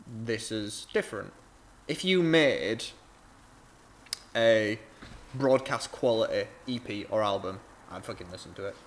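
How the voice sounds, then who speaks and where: A man's voice: on the low side, lively intonation, measured pace. A young man hosting a music review, talking casually with many fillers and frank opinions. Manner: rambling, filler-heavy, frank.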